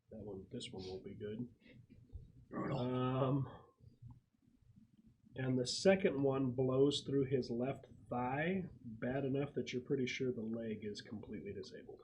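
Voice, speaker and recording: A man's voice: mid-range voice, slow, long pauses, a little mellow, lo-fi sound, slight background noise. A man acting as game master in a casual group game, explaining rules and narrating the action.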